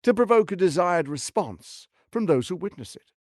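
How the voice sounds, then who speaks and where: An actor voicing a scheming, smug tyrant lord in a video game, speaking in a pompous, silky, menacing and theatrically emphatic way with a gravelly edge. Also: male voice, high-pitched, animated, normal speed, a little mellow, no room sound, clean studio sound. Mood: calculating. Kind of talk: dramatic video game voice acting.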